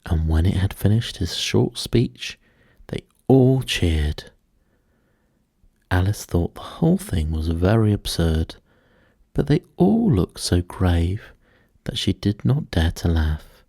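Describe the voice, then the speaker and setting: Male storyteller, low gravelly voice, husky, soothing scripted narration, dry close sound. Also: very animated, dry recording. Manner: measured, hushed, gently paced delivery.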